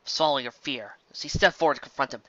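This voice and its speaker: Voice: mid-range voice, quick pace, a bit bright, dry recording, muffled recording. A narrator reading a fantasy story aloud in a dramatic scripted style.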